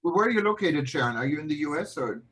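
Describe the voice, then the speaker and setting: A man from Germany, moderating an online panel with hesitant, halting phrasing and many restarts. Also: on the high side, lively intonation, a bit bright, poor audio. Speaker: panel host.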